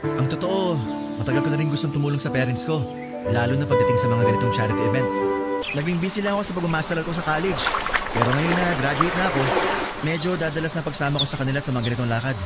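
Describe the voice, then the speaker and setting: A man's voice: a little high, quick pace, natural voice. A man playing a polite, helpful young graduate in friendly radio drama dialogue. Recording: music bed under the voice.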